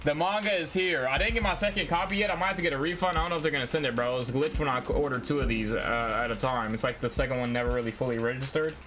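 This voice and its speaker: A man's voice: medium pitch, racing, hardly any pauses, fairly bright tone. A young man chatting casually on a game livestream, speaking in slang and addressing his viewers like buddies. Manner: slangy, addresses chat as bro and y'all. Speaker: live streamer playing games. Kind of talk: casual livestream chat while playing a game.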